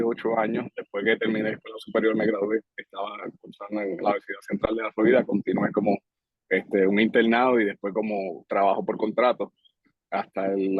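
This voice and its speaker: A man, answering interview questions in a relaxed, measured way with frequent hesitations. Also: lowish voice, slightly rough, no echo. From Puerto Rico. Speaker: guest, public safety director. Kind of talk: casual interview talk. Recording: dry, slightly dull podcast audio.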